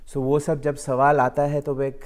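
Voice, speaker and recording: A man's voice: mid-range voice, little pitch movement, a little slow, clear, resonant tone. A man raised in Delhi, answering interview questions in a hesitant way, with many fillers and English phrases mixed in. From Delhi, India. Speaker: guest artist.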